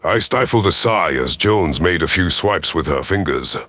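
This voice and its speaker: A man's voice: deep voice, animated, normal speed, bright, forceful tone, no echo, faint music behind. A man reading a first-person science fiction story as dramatic scripted narration, in the part of a grave alien commander. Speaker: narrator of a sci-fi audio story.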